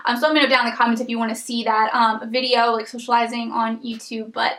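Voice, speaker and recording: A young woman giving casual tips to camera as a beauty vlogger, chatty and rambling with lots of ums, her voice a little raspy from a coming cold. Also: on the high side, little pitch movement, fast, a bit bright, echoey room. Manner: chatty, rambling, many ums and you-knows.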